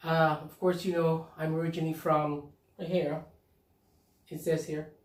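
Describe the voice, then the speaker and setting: A man from the Philippines co-hosting a casual couple's vlog, talking in a halting, easygoing way and laughing often. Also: on the high side, level tone, darkish tone. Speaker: vlog co-host. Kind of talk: casual chat. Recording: echoey room, mic at a distance.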